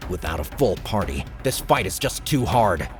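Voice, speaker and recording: A man's voice: deep voice, very animated, normal speed. A man doing a tense, muttering young hero. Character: hard-pressed young male hero. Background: background music.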